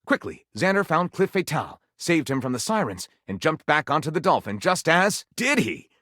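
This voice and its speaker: Melodramatic, swooping storybook-style narrator. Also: male voice, fairly high pitch, sing-song, normal speed, fairly bright tone, dry recording, studio quality. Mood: arch, dramatic. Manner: melodramatic, arch, savoring each dramatic turn. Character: theatrical tongue-in-cheek male narrator of pulpy detective tales. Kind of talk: dramatic scripted narration for a video game.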